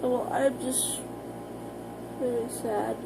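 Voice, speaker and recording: An American woman talking casually to her viewers in an informal, halting, rambling vlog style. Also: on the high side, steady tone, gravelly, loud music behind the voice, rough recording. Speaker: vlogger.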